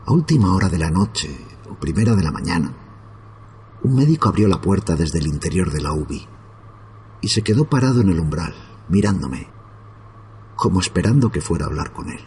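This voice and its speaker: A man narrating a horror story in a slow, grave, hushed manner. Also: sits low, big pitch swings, a little hiss or hum.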